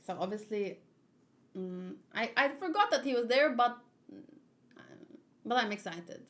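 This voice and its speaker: Slow-paced, higher-voiced woman streamer. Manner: excitable, playful, expressive. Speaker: streamer hosting a manga read-along. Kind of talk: casual livestream chat mixed with animated read-aloud.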